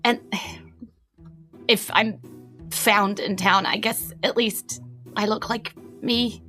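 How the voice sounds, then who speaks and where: A woman's voice: medium pitch, steady tone, slow pace, bright, forceful tone, soft background music. A woman voicing an earnest, awkward young noblewoman in disguise, hesitant and slightly defensive, in an improvised tabletop game. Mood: embarrassed.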